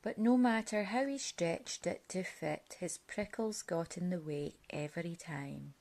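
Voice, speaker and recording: A woman reads a picture book aloud for children. Her voice sits a little low, and she takes her time, shaping each phrase deliberately and expressively as a storyteller. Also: a little mellow.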